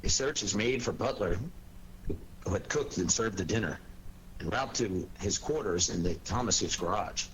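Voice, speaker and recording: A man's voice: on the low side, normal speed, a little hiss or hum, poor audio. A man working as an announcer, delivering a scripted story recap.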